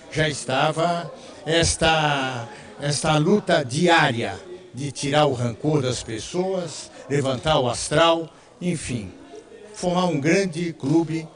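An older man giving a spoken tribute in a TV interview, indoors into a handheld microphone. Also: fairly high pitch. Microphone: handheld reporter microphone close to the mouth.

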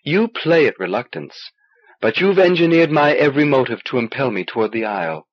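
A man's voice: medium pitch, animated, brisk, no echo. A man reading an audiobook as a stubborn young male traveler, earnest, insistent and questioning. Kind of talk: audiobook character dialogue. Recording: dull old cassette transfer.

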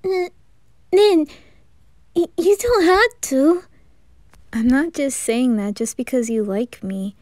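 Woman playing a mellow, tender boy in love. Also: high-pitched, slow, long pauses, dry recording, clean studio sound. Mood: earnest. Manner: gentle, breathy, often near whispering. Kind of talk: amateur romantic ASMR roleplay.

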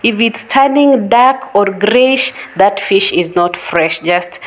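A woman's voice: mid-range voice, takes it slowly. A woman with a Kenyan English accent explains, step by step, how to clean fish in her home kitchen, casually and instructively, repeating her advice for emphasis. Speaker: home cooking vlogger.

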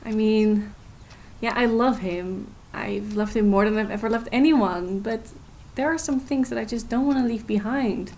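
A woman's voice: mid-range voice, moderate pace, darkish tone, natural voice. An actress playing a hurt but determined young woman in an audio drama, confiding and emotional. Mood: anxious, reflective. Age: young adult.

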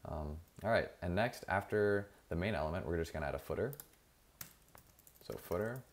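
A man narrating a screen-recorded coding tutorial in a casual, relaxed way, with frequent fillers and self-corrections. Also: sits low, normal speed, slight background noise. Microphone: voice-over over screen recording.

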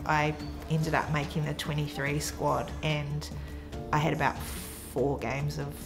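A woman in her twenties with a New Zealand accent, reflecting quietly in a documentary interview. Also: deep voice, flat delivery, measured pace. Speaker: athlete. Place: indoor room with framed photos. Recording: music underneath.

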